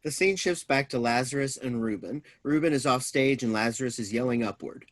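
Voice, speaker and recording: A man's voice: medium pitch, a little fast. A man reading stage directions as scripted narration.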